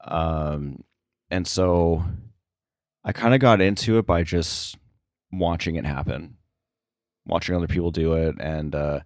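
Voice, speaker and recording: A man's voice: sits low, measured pace, long pauses, a little mellow, dry recording, clean studio sound. A man talking casually as an interview guest, explaining his sound editing work in a thoughtful, somewhat hesitant way. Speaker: podcast guest, sound effects editor.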